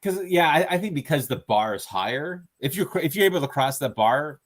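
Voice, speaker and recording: A man's voice: on the high side, expressive, brisk. A man, a stand-up comedian, chatting casually as an interview guest, rambling with fillers and restarts and acting out quoted exchanges. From Canada.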